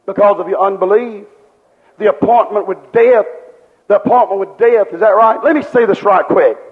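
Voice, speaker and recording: High-voiced fervent male preacher, echoing hall. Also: moderate pace. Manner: fervent, repetitive preaching with rhetorical questions. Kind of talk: sermon. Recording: dull lo-fi recording with long hall reverb.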